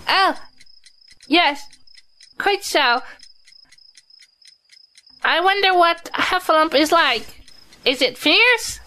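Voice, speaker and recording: A very high, bright squeak of a nervous little male pig, as a woman acts him out. He frets and stammers over every worry and tips into a panic at the least scare. He belongs to a storybook audio drama. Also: heavily acted. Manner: stammering, fretful, easily panicked.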